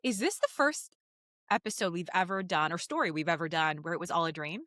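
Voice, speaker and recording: A woman's voice: on the low side, a little fast, pushed and bright, dry recording, studio quality. A woman co-hosting a podcast with playful, giggly, casual comedic banter. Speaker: podcast co-host.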